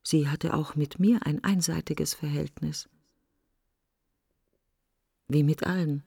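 An actress playing a bitter, grieving woman in a literary radio play monologue, speaking in clipped, intense fragments with a breathy husky voice. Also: deep voice, steady tone, takes it slowly, dark tone, heavily acted. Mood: bitter, hurt. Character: bitter, grieving woman reflecting on her dead best friend.

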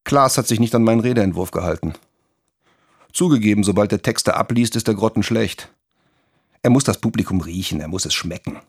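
An actor playing a disdainful, scheming political speechwriter in a radio drama monologue. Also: male voice, fairly low pitch, a little fast, slightly rough. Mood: disdainful, calculating. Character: scheming political spin doctor, disdainful and tactical.

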